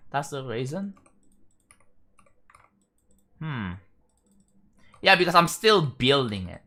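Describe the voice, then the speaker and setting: A young man from Indonesia with an Indonesian accent, chatting casually on a live stream, rambling and halting with frequent restarts. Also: on the high side, sharp tone. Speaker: livestreamer.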